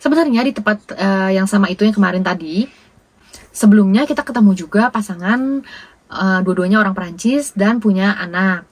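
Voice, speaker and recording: A woman's voice: mid-range voice, fast, breathy edge, no room sound. A young woman with an Indonesian accent, chatting casually as a travel vlogger. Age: young adult. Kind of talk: casual chat to camera and with people met on the road.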